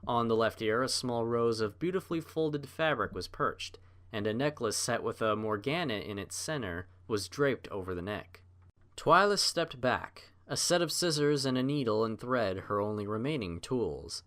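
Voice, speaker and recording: Animated male story narrator. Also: medium pitch, normal speed.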